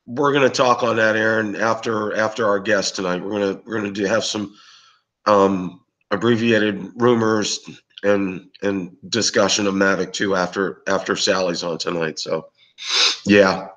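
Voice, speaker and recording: Raspy, hoarse man, low voice. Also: fairly even intonation, moderate pace, a bit bright, lo-fi sound. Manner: rambling, with many fillers and restarts. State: hoarse from bronchitis. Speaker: livestream show host. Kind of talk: casual live-stream chat with viewers.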